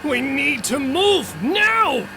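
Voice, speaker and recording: A man's voice: extremely high pitch, sing-song, very slow, background noise, exaggerated voice. An actor playing an anxious, sweary male killer in a horror audio drama, with jumpy, exclamatory delivery. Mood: urgent alarm.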